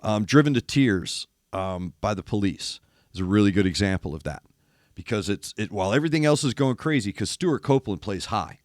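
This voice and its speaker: An older man sits close to a dry, dead-sounding mic, his low voice gravelly and worn from losing it. He breaks down drum parts with emphatic, animated commentary, thumping out beats with his mouth. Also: brisk, no echo. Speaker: host of a music reaction show. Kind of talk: casual music commentary. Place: studio in east Nashville.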